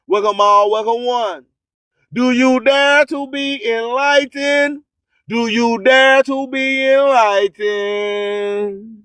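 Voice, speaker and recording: A man's voice: very high-pitched, clear, resonant tone, dry recording. An American man hosting a radio show, preaching spiritual motivation in a declamatory, repetitive, rhyming delivery. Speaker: radio host.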